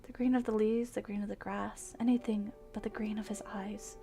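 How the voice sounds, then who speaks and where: A woman livestreaming visual novels, switching between excitable gushing reactions and expressive read-aloud narration. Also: mid-range voice, normal speed, creaky. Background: game music.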